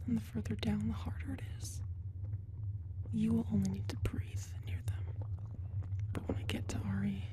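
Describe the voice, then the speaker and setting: A woman voicing a giant, cunning, predatory alien brood mother in a whispered ASMR audio roleplay, with a hushed, controlling, coolly menacing manner. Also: on the high side, close to an everyday voice. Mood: sly, conspiratorial.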